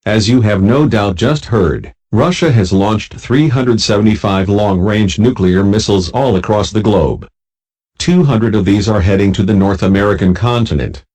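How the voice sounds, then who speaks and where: A man playing a solemn American president giving a grave, measured, formal address to the nation in wartime. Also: lowish voice, darkish tone, lightly acted, low-quality recording. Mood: grave, resolute.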